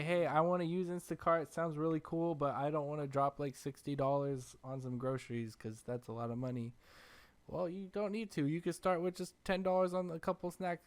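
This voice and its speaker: A man's voice: fairly high pitch, smooth. Man hosting a casual podcast. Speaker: podcast host. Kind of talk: casual chat and ad reads.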